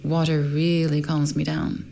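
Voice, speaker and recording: Low creaky woman. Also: flat delivery, measured pace, slight background noise. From Sweden. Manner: soft-spoken, thoughtful, many ums. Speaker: workshop teacher. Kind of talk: casual conversation.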